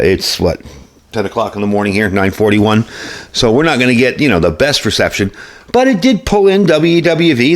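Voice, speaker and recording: A man giving a casual, unscripted product review in a conversational, plain-spoken way, as the host of a hobby channel. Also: lowish voice. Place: indoor workshop at a desk.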